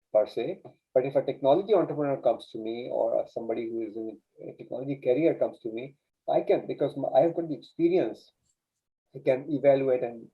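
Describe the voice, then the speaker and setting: A man's voice: medium pitch, husky. Earnest man with an Urdu first language. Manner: earnest storytelling with many restarts. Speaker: LinkedIn coach, guest. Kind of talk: casual interview chat. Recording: dull lo-fi sound.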